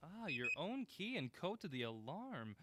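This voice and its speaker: A man's voice: fairly high pitch, very animated, measured pace, heavy background noise. An actor playing a playful male film buff who teases his friend in a naturalistic audio drama. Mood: teasing. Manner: playful, needling banter. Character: teasing, upbeat male film buff, sound-business friend.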